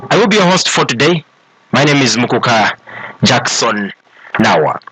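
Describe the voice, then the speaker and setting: A man with a Zambian accent presenting a radio discussion show in halting, deliberate phrases. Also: medium pitch, big pitch swings, sharp tone, a little hiss or hum, rough recording. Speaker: radio host.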